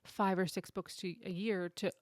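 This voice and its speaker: A woman co-hosting a casual book chat podcast, chatty and quick to laugh. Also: medium pitch, little pitch movement, no echo, clean studio sound. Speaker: podcast co-host.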